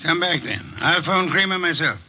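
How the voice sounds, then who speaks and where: Guy playing shrewd bossy detective in old radio show, highish voice, formal, dull vintage sound. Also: animated, very noisy, lightly acted. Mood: grave. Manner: formal, deliberate, commanding. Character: shrewd, imperious middle-aged male private detective boss. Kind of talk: 1950s radio detective acting.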